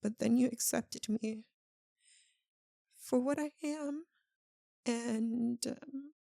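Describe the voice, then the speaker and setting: Lovesick vampire woman, voiced by an actress: anguished ASMR roleplay, halting and soft, mellow tone, very slow delivery. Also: a little high, vocal fry, dry recording, clean studio sound, full character voice. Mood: tender, tearful. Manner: halting, hushed, emotional delivery with long pauses. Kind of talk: ASMR roleplay, intimate dramatic monologue.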